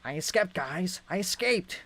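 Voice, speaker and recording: Nerdy, oblivious schoolboy played by a man, raised pitch, awkward and pleading, for a script reading. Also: animated, a little slow, gravelly, fairly bright tone, heavily acted. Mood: excited.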